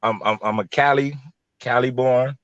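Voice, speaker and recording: A slightly dull, lo-fi but dry recording of an American man from Los Angeles. His voice is medium in pitch. He talks in a loose, colloquial, rambling way, like a content creator being interviewed. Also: steady tone, moderate pace, a bit bright, no room sound. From Los Angeles, California. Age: 30s or early 40s. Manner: rambling, colloquial, repeats himself. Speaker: YouTube content creator, guest. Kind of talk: casual conversational interview.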